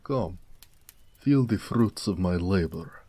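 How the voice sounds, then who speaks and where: A big, proud orc blacksmith played by a man speaks in a deep, mellow voice, close and dry. He is gentle and courteous, with an affectionate, slightly formal way of talking suited to an intimate fantasy audio drama. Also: lively intonation, breathy edge, no echo, full character voice. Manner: unhurried, soft-spoken, courteous, slightly formal. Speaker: voice actor.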